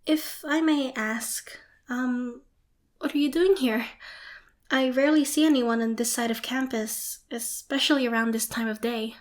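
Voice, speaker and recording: A young woman playing a shy, nervous, excitable theatre student in an ASMR roleplay, hesitant, flustered and apologetic. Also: fairly high pitch, lightly acted. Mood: shy, polite. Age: young adult. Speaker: amateur voice actor.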